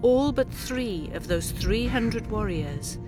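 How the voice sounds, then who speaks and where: A woman from Wales, a Welsh speaker, narrating a radio documentary in a measured, reflective way. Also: on the high side, lively intonation, over loud music, low-quality recording. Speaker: poet presenter.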